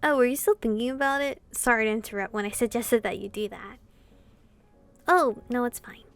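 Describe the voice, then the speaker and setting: High-voiced, creaky woman reading a game aloud. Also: a little fast. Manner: animated reading, reacting informally to the story. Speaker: let's-player reading a visual novel aloud. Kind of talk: expressive read-aloud with casual commentary.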